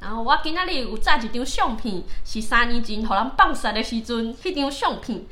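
A woman's voice: on the high side, animated, a little fast, bright, forceful tone. A woman voicing a vengeful, jilted man who has become successful, in the theatrical style of an old song's spoken drama. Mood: smooth, deliberate. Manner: theatrical spoken-monologue delivery from an old Taiwanese song.

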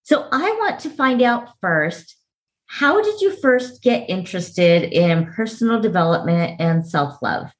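A woman's voice: fairly low pitch, sing-song, a little slow. A woman hosting an interview podcast, introducing a guest and asking questions.

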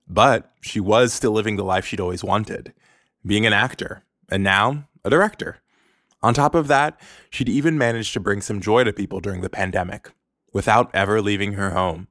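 A man hosting a podcast, reading a scripted story in a measured, clear way. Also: lowish voice, a bit bright, no echo, studio quality. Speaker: podcast host. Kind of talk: scripted narration.

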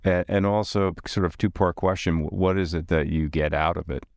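Deep-voiced man host, halting questions. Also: steady tone, gravelly, darkish tone, no echo, clean studio sound. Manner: halting, repeats words while asking. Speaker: podcast host. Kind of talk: casual interview questions.

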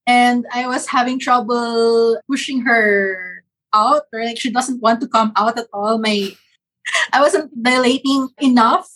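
Husky woman in her forties. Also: fairly high pitch, steady tone, a little slow, fairly bright tone, rough recording. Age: 40s. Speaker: interview guest. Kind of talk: casual interview chat.

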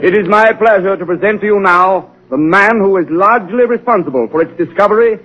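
Dull old radio, a high-voiced stuffy museum official, 1930s drama style. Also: male voice, fairly quick, fairly bright tone, slight background noise. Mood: formal, ceremonial. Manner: formal, declamatory. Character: formal museum official making a ceremonial speech, then alarmed.

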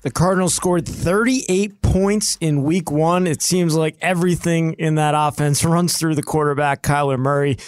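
A man's voice: fairly high pitch, steady tone, moderate pace, hardly any pauses. A man co-hosting a sports show, setting up football questions for a guest. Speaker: sports show co-host, interviewer.

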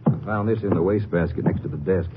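An American actor playing a shrewd, skeptical Texas Ranger partner in a 1950s western radio drama, with a brisk, folksy way of talking. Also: male voice, sits low, natural voice. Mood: skeptical, businesslike. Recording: muffled, hissy 1950s radio recording.

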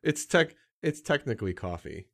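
A man co-hosting a casual podcast, laughing often and throwing in quick quips. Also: mid-range voice, very animated, gravelly, fairly bright tone, no echo. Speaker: podcast co-host.

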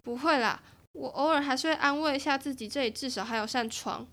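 A woman playing a prickly, suspicious young recluse. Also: high voice. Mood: subdued. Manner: sharp, quick to snap, sarcastic. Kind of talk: audio drama acting.

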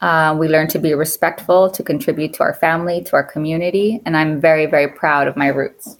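A woman's voice: low voice, steady tone, a little fast, slight background noise. A middle-aged woman from Mexico, a podcast guest speaking earnestly about her upbringing and dropping Spanish phrases into her answers. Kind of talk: interview conversation.

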